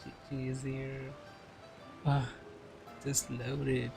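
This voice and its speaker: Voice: mid-range voice, little pitch movement, extremely slow, gravelly. A game streamer giving casual reactions over a game, muttering short interjections and reading game text aloud. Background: game music.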